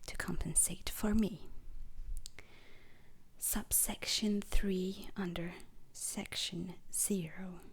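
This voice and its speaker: A woman performing a whispered ASMR roleplay as a formal, grave veteran instructor lecturing space recruits, in a breathy, deliberate voice. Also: lowish voice, darkish tone, exaggerated voice.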